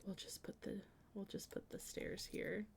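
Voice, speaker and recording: A woman streamer chatting casually with viewers as she plans out a house, thinking aloud in a hesitant, meandering way with many trailing restarts. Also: measured pace. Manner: chatty and friendly when greeting and answering viewers. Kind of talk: casual live-stream chat while building in a life-sim game.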